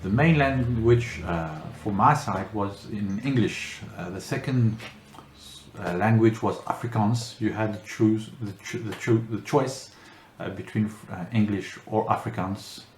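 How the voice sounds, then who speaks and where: A man's voice: on the low side, measured pace. A middle-aged man from France answering interview questions, talking hesitantly with many fillers and restarts. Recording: roomy echo, faint background noise.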